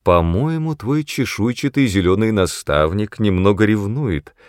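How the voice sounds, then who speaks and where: A man's voice: lowish voice, expressive, unhurried, no room sound, clean studio sound. A man voicing a playful, self-assured woman adventurer in an audiobook, teasing and assertive.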